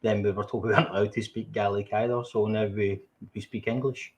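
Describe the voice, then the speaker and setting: A man reading as a dry, low-voiced chatter. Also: flat delivery, brisk, close to an everyday voice. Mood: matter-of-fact.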